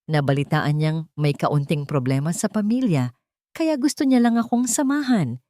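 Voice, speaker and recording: A woman voicing a calm, quietly knowing elderly mother-in-law in a dramatic story, speaking in a measured way. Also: deep voice, no echo, clean studio sound.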